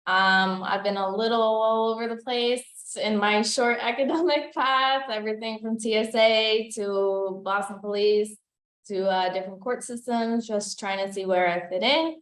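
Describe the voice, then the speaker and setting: A woman's voice: on the high side, steady tone, moderate pace, a bit bright. Echoey, informal young woman from Greece. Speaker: executive director of a city commission. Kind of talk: informal self-introduction at a public meeting. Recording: echoey meeting room.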